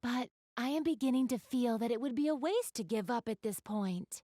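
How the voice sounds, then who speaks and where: A woman's voice: on the high side, animated, normal speed, warm tone, no echo, clean studio sound. A woman playing an elegant young goddess in an anime video game, speaking to the player with polite, genteel, formal diction and a keen gamer's refinement. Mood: wistful, determined.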